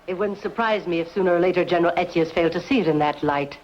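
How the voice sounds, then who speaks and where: A woman playing a shrewd, insinuating Roman noblewoman in a dubbed sword-and-sandal film, speaking in a measured, pointed way. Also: on the low side, muffled recording, a little hiss or hum, natural voice. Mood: insinuating, cautioning.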